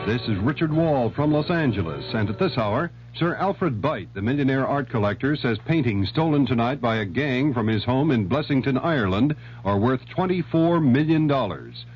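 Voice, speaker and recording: A man's voice: medium pitch, animated, normal speed, soft background music. A man with an American accent anchoring a scripted network radio news bulletin. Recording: dull, noisy, humming vintage radio recording.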